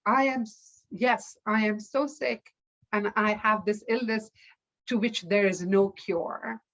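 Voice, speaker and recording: A woman's voice: mid-range voice, steady tone, slow, highs cut off. A woman voicing a despairing, resigned old male merchant in an oral folktale. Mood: sick, hopeless.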